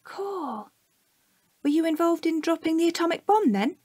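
A woman's voice: extremely high pitch, animated, moderate pace, a little mellow, no echo, studio quality. A woman with a British accent voicing a curious, earnest young child questioning a parent in an audio drama. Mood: eager, curious. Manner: inquisitive, childlike.

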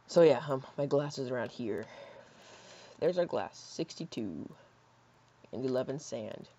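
A hoarse young man in his teens rambling casually while playing a building game, with lots of fillers and soft mutters. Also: mid-range voice, animated, measured pace. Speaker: gaming YouTuber. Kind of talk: casual gameplay commentary. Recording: slightly dull lo-fi mic.

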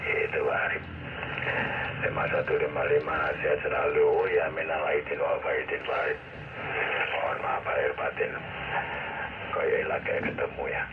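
A man's voice: very deep voice, flat delivery, moderate pace, pauses often. A man with a Javanese accent answering over a two-way radio, easygoing, giving signal reports. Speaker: radio caller. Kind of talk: casual two-way radio chat. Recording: tinny radio channel with loud static.

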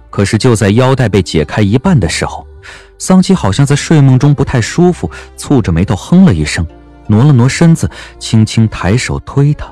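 A man narrating an audio novel as scripted dramatic storytelling, with a measured, expressive literary delivery. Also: lowish voice.

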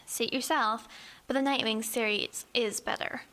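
A woman's voice: on the high side, fairly even intonation, measured pace, pushed and bright. A woman playing a bold, pushy ten-year-old girl in a radio horror drama, brusque and confident.

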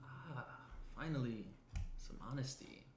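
A man's voice: mid-range voice, unhurried, lightly acted. Man acting laid-back young guy, echoey room. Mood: teasing. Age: young adult. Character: laid-back, teasing young man, frank morning-after host. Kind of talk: naturalistic screenplay table read. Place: small indoor room at a table. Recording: roomy, faint background noise.

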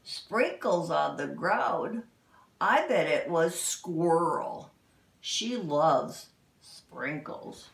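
A woman voicing a pondering animal sleuth from a children's storybook, deliberate and suspicious. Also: sits low, lively intonation, gravelly, far from the mic, voice pushed down, exaggerated voice. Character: pondering storybook animal friend hunting for clues. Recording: roomy, echoey home recording.

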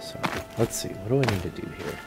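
A male gaming host with a lively, swinging pitch and a gravelly edge. He comments casually, half to himself. Very loud game music plays behind him. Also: a little fast. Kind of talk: casual let's-play commentary.

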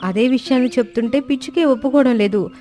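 A woman's voice: high-pitched, quick pace, mellow, lightly acted. Woman as a timid, stammering lovesick pigeon. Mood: frightened, defensive. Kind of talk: children's cartoon story dialogue. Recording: music bed under the voice.

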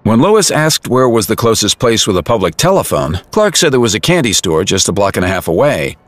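A man's voice: on the low side, sing-song, fairly quick, no echo. A man giving scripted, vivid storytelling narration for an audio drama.

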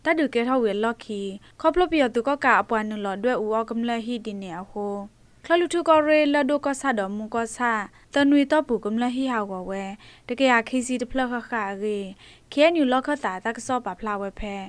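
A woman's voice: fairly high pitch, animated, quick pace, no room sound. A woman reading a scripted radio news bulletin.

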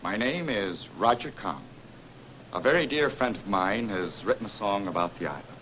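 A man's voice: fairly low pitch, animated, moderate pace, fairly bright tone, low-quality recording. An actor playing a male nightclub host formally announcing a song premiere to a crowd. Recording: old film soundtrack with light hiss.

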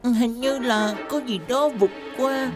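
A woman playing a cautious, worried young team member in an animated series dub. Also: a little high, slow, over music. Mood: bewildered, worried.

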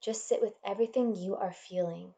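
A woman leading a guided meditation in a gentle, soothing, measured manner, leaving pauses between instructions. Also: medium pitch, fairly even intonation, creaky, highs cut off.

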